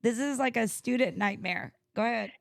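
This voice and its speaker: A woman's voice: fairly high pitch, moderate pace, vocal fry, no echo, studio quality. A woman podcast co-host and trainer chatting casually in a blunt way, swearing freely. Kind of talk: casual chat.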